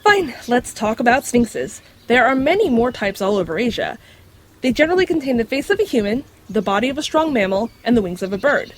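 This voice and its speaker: A woman's voice: a little high, sing-song, a little fast. A woman voicing a knowledgeable magical creature in dog form, explaining things matter-of-factly with dry wit in an audio drama. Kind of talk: scripted audio drama acting.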